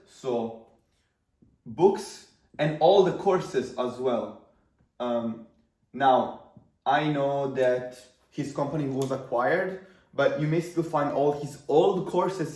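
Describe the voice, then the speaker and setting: A man's voice: fairly high pitch, darkish tone, echoey room, far from the mic, rough recording. A man in his twenties with an Italian accent, whose first language is Italian, talking casually to camera about marketing in a rambling way with fillers and restarts. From Italy. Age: 20s.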